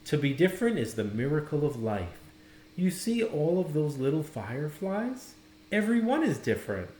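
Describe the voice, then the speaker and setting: Man reading as a loving, animated grandmother. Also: a little high, moderate pace, roomy sound, slight background noise, low-quality recording. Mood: reassuring. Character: loving, reassuring grandmother comforting her little granddaughter.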